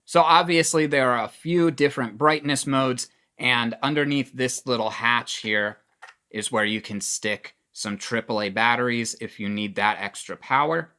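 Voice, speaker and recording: A man's voice: medium pitch, moderate pace, bright, forceful tone, clear, resonant tone. A man giving a casual, plain-spoken product review, explaining features as he demonstrates them.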